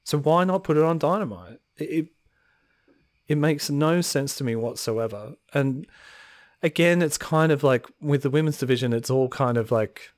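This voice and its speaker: Man ranting, a little hoarse, dry sound. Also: on the high side, normal speed, dry recording. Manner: frustrated ranting with sighs. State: slightly hoarse. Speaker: podcast co-host. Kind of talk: casual chat.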